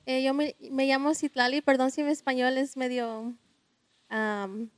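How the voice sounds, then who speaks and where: A Mexican-American woman speaks up from the audience in careful, halting Spanish, drawing on her English. Her voice is fairly high and flat. It sounds dry and close. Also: smooth, no room sound. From United States. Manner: hesitant, searching for words. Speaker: audience member. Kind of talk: audience comment at a book talk.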